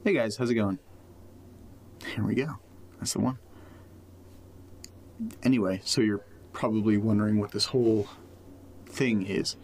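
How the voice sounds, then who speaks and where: A man's voice: on the low side, steady tone, unhurried, long pauses, a little mellow. An actor playing a casual, self-mocking young man recording an audio diary in a naturalistic found-footage drama. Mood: awkward, casual. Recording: faint background noise, ambience.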